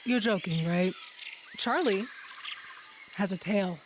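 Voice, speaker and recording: A woman playing a sharp, decisive, easily exasperated wife in an audio fantasy drama, plain-spoken with a sarcastic edge. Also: medium pitch, slow, noisy background, natural voice. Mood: exasperated rant.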